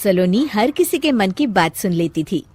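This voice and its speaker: A woman's voice: mid-range voice, very animated, normal speed, darkish tone, breathy edge. A woman narrating a cartoon story from a script.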